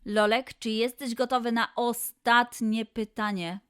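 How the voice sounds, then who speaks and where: A woman's voice: a little high, steady tone, measured pace, clean tone. A woman presenting a scripted quiz for children as host, announcing and addressing the young listeners directly. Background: music.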